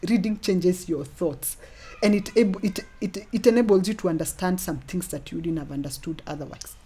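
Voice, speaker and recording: A woman's voice: medium pitch, a little mellow. A woman with a Kenyan accent talking conversationally as an interview guest, in an earnest, encouraging manner. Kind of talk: conversational talk, mixing Swahili and English.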